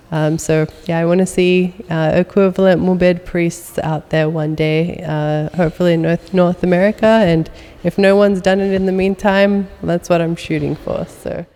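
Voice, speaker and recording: A woman's voice: on the low side, warm tone, long reverb tail. A young adult woman guest chatting in an interview, full of ums and uhs. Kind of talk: casual interview conversation.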